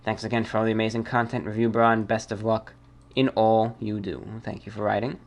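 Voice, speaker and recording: A man hosting a listener-mail show, reading letters and commenting casually in a halting, deliberating way, often repeating his phrases. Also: lowish voice, flat delivery.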